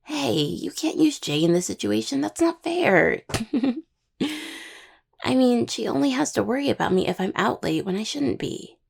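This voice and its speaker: A young woman playing a caring, teasing necromancer healer in an intimate audio roleplay, with a husky voice and conversational delivery. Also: fairly high pitch, very animated, a little slow, darkish tone, exaggerated voice. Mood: playful teasing. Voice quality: husky, gravelly. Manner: hesitant, teasing, full of pet names.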